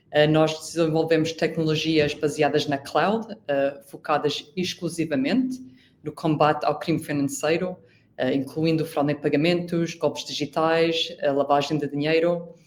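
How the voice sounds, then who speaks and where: A woman with a European Portuguese accent giving formal opening remarks as a panelist, often pausing with "eh". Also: low voice, a little mellow. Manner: formal, frequent "eh" hesitations.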